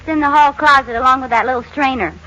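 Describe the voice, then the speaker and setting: A woman's voice: high voice, fast, lightly acted. A woman playing a scatterbrained, teasing young housewife with sarcastic, theatrical delivery in 1940s radio comedy style, on a noisy old recording. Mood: bright, eager.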